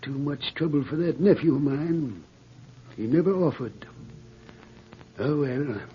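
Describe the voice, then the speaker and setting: An actor playing a folksy, grateful old prospector with rustic, hesitant speech in a radio drama. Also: male voice, on the high side, measured pace, close to an everyday voice. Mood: grateful, sheepish. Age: older. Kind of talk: 1940s radio acting. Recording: noisy, muffled old radio recording.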